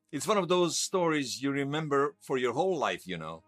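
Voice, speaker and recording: A man's voice: medium pitch, very animated, moderate pace, a bit bright, dry recording, clean studio sound. An actor playing a nostalgic, wryly amused man recalling his past conversationally in an interview-style audio drama. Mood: wistful, fond. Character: nostalgic man recalling lost love in a future world.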